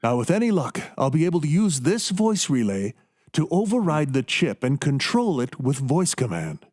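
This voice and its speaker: A man playing a coldly scheming, gloating doctor in a radio play, speaking with deliberate, condescending menace. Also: a little high, sing-song, darkish tone. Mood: controlled, commanding.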